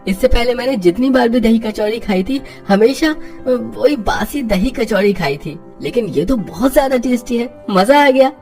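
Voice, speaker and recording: An excitable man at a street-food stall, gushing and exclamatory in a raised, high voice as a narrator acts him out. It is a cartoon storybook customer, with music underneath. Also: normal speed, hardly any pauses, close to an everyday voice. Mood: delighted, gushing. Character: enthusiastic male street-food customer, full of praise.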